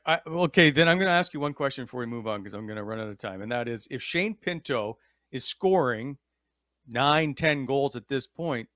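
A man's voice: medium pitch, fairly bright tone, dry recording, studio quality. A man hosting a sports podcast in a casual, conversational style. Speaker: podcast host.

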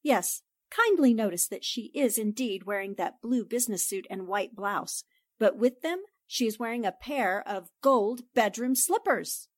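A woman's voice: on the high side, lively intonation, no echo, clean studio sound. A woman playing a poised, inquisitive newspaper reporter who speaks politely and precisely, in 1940s radio drama style. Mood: confident. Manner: polite, precise, deliberate questioning.

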